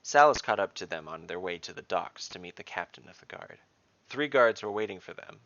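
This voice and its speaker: A man's voice: low voice, animated, a little fast, a bit bright, dry recording. A man narrating a scripted fantasy story, recorded up close with a slightly dull sound.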